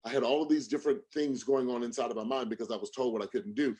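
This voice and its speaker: A man's voice: medium pitch, steady tone, quick pace, darkish tone, breathy edge. A man giving a motivational sales talk on a livestream as a coach, speaking emphatically with preacher-like repetition and rhetorical questions.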